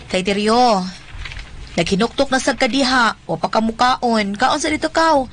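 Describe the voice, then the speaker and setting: A woman's voice: on the high side, moderate pace, a bit bright, a little hiss or hum, lightly acted. A woman playing a weary, dutiful daughter in a radio drama, coaxing and exasperated, with a Visayan Filipino accent. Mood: troubled, coaxing. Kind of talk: radio drama acting with first-person narration. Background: music.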